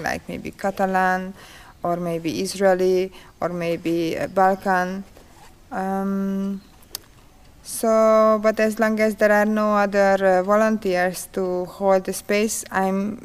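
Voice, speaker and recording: A woman with a Hungarian accent and Hungarian as her first language, leading a folk dance class and answering interview questions in slow, halting speech with frequent pauses. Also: medium pitch, clean tone.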